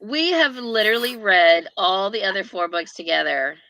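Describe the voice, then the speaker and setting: Bright-voiced woman hosting a chat. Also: medium pitch, animated, normal speed, no room sound, poor audio. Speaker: host of a book chat livestream. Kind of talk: casual chat, reading viewer comments. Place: indoors on a group video call.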